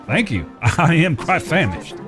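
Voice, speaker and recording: A man's voice: a little high, sing-song, a little slow. A man playing a courtly, lovestruck cowboy over music. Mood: good-humoured. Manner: courtly, wordy and earnest. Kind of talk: audio drama acting, Old West dialogue.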